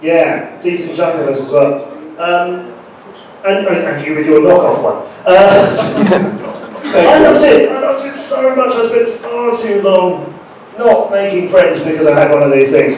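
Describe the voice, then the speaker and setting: A man's voice: high voice, a little slow, background noise. A man hosting a live Q&A on a convention stage, chatty and joking with the crowd. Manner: jokey, chatty, addresses the crowd. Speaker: host and interviewer. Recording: echoey hall from far off.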